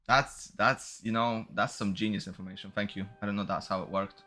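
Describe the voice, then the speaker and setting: A man's voice: lowish voice, fairly even intonation, a little fast, bright, forceful tone. A man giving casual, slangy, reactive gameplay commentary as a streamer. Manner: slangy, reactive, bro-heavy talk. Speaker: gaming streamer reacting to a playthrough. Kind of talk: casual gameplay commentary, reading dialogue aloud.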